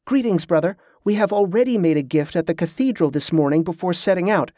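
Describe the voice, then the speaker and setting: A man's voice: high-pitched, brisk, mellow, no echo, clean studio sound, close to an everyday voice. A man voicing a composed, diplomatic woman who leads a band of travellers in a fantasy audiobook, polite and controlled. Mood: polite, firm.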